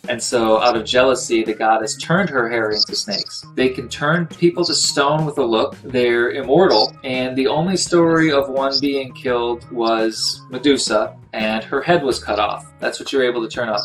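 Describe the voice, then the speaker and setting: A man's voice: mid-range voice, moderate pace, few pauses, fairly bright tone, soft background music, low-quality recording. A man narrating as game master for a tabletop game, descriptive with dry crude jokes.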